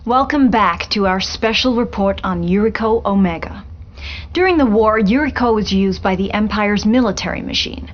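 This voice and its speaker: A woman playing a polished television reporter in a video game, delivering a grave special report in a measured, articulate way. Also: medium pitch, muffled recording, close to an everyday voice. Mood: grave, ominous.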